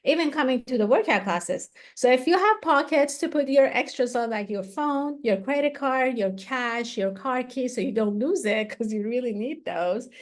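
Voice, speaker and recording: A woman's voice: a little high, lively intonation, moderate pace, few pauses. A woman, talking chattily with many fillers and restarts as an interview guest. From Iran. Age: 40s. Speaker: guest, activewear founder. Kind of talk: casual interview talk.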